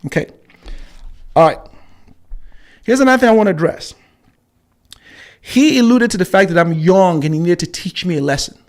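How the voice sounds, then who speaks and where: A man in his forties hosting a live stream, combative and repetitive, with frequent fillers and pauses. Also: high-pitched. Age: 40s. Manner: combative, repeats himself for emphasis, frequent fillers. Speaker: channel host.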